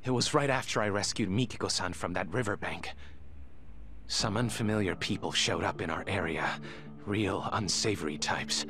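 A middle-aged doctor in a video game drama, very low in pitch. He speaks formally and carefully, hesitating as if guarding secrets, over a clearly audible music bed. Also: moderate pace, bright, forceful tone. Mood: uneasy.

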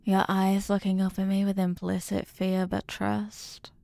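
A woman's voice: mid-range voice, completely flat delivery, a little slow, vocal fry, dark tone, no echo. A woman playing a doting, intensely possessive girlfriend in an intimate ASMR roleplay, cooing tenderly with pet names. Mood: intense, desirous.

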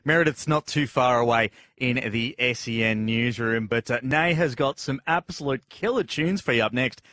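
A man's voice: mid-range voice, normal speed, fairly bright tone. An Australian man in his thirties presenting a music radio show, speaking close on a studio mic. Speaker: radio host. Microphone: close studio broadcast mic on boom arm.

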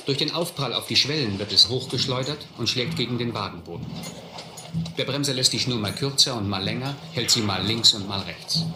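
An actor playing a reflective narrator who recalls his hobo youth in a radio play, measured and literary. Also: medium pitch, lightly acted. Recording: roomy, clearly noisy radio play recording.

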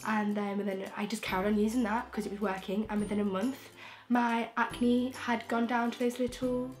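A young woman from England, croaky from a throat infection, chatting frankly and rambling with restarts as a beauty vlogger in her bedroom. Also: fairly high pitch, level tone, moderate pace, darkish tone, faint music behind. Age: young adult. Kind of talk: casual chatty vlog. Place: bedroom, indoors. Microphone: camera in front, close framing.

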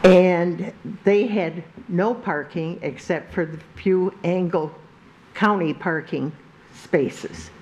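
An older woman with a big, carrying voice, speaking slowly and haltingly at a podium microphone during public comment in a council chamber. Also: lowish voice, a little mellow, dull audio. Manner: slow, halting, with many pauses and restarts. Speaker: resident giving public comment. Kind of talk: public comment at a zoning meeting.

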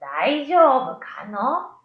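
Lady doing a curious old man yokai, drawn-out old-timey talk, slow, kinda roomy sound. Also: sits high, very animated, dull audio, exaggerated voice. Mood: mild, curious. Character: curious old male yokai, mild, easily amazed. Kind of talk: storybook radio drama reading.

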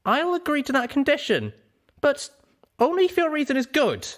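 A man's voice: extremely high pitch, huge pitch swings, moderate pace, fairly bright tone, voice pushed up, heavily acted. A man voicing a young female pony adventurer, earnest and inquisitive, in an audiobook. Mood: firm, wary. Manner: firm, inquisitive. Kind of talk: audiobook character voice.

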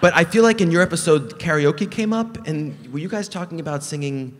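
A man moderating an onstage panel in a large theater, briskly prompting the guests with questions through a handheld mic. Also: fairly high pitch, expressive. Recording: reverberant theater hall.

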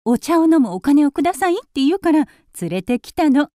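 Radio drama: actress as spoiled rich young wife, impulsive and coy, high, very animated delivery. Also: female voice, fairly quick, no echo, studio quality. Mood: excited, pleading. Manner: coy, affected, theatrical. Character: impulsive, vain upper-class young wife, coaxing her husband.

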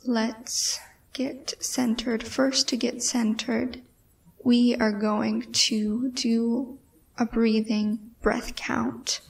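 A woman guiding a meditation in a measured way with pauses, her breathy, creaky voice often trailing toward a whisper. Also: a little high, darkish tone, far from the mic, rough recording. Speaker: meditation guide. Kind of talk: guided meditation.

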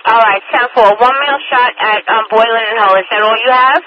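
A woman's voice: on the high side, fairly quick. A woman police dispatcher giving procedural instructions over the radio and repeating details back. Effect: police radio transmission. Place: radio transmission picked up on a scanner. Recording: muffled, noisy police scanner radio with clicks.

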